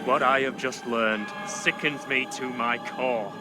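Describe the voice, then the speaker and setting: A man's voice: medium pitch, steady tone, loud music behind the voice, natural voice. Man playing a solemn, formal witch-trial judge. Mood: grave, indignant. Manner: formal, measured, declamatory. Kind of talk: dramatic video game acting.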